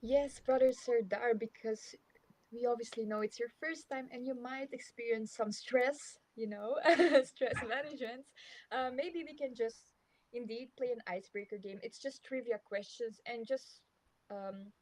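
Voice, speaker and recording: A woman's voice: on the high side, unhurried, darkish tone, low-quality recording. A woman hosting a live radio program, cheerful and polite, laughing often. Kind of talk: casual live radio chat.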